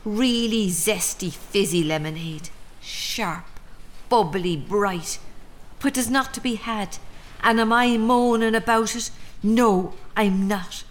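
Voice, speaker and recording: A woman's voice: mid-range voice, slow, natural voice. An actress with an Irish accent playing a stoic, sardonic mother in a radio drama, musing dryly. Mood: wistful, resigned. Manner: musing, repetitive, dry, lilting phrasing.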